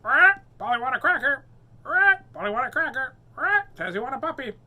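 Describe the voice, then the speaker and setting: A man voicing a squawking, nagging male pet parrot in a read-aloud story, with a shrill, repetitive, pestering voice. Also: sing-song, a little fast, bright, forceful tone, exaggerated voice.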